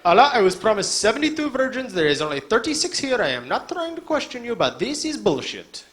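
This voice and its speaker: A man putting on the high, loud voice of an indignant dead man complaining in the afterlife, in a comedy set. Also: sing-song, a little fast. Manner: loud, high, aggrieved ranting.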